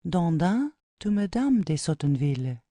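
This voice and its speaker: Mellow woman narrator, lively intonation. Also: on the low side, normal speed, no echo. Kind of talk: scripted reading of stage directions.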